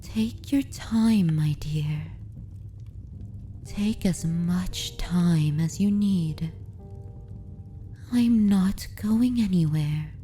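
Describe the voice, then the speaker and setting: Woman doing a dominant but tender vampire mistress, asmr style, super slow n soft, dark mellow voice, very formal. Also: lowish voice, soft background music. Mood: coaxing, possessive. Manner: slow, deliberate, formal and courtly. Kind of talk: ASMR roleplay, soft intimate delivery with whispers.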